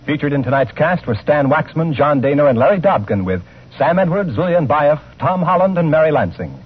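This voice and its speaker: Male 1950s network announcer, formal and emphatic, higher register, thin vintage radio band. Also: lively intonation, brisk, husky. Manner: polished, emphatic. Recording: tinny vintage radio broadcast with music.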